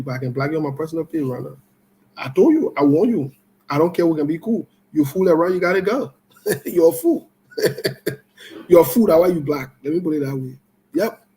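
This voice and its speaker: A man hosting an online political talk show, giving live commentary and scolding viewers in a blunt, loose conversational way that swings between loud outbursts and low-key remarks. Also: slight background noise, rough recording.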